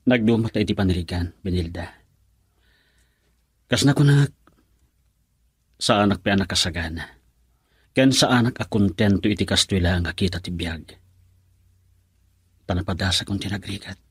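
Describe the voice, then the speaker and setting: A man's voice: low-pitched, moderate pace, pauses often, husky, dry recording, clean studio sound, full character voice. A man playing a hesitant young boyfriend in an Ilocano radio drama, earnest and defensive about his worries over poverty.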